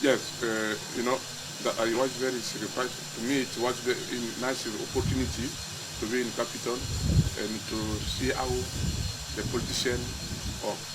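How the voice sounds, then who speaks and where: A man from the Democratic Republic of Congo, answering interview questions haltingly as he searches for English words. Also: mid-range voice, flat delivery. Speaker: presidential candidate. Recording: loud background noise.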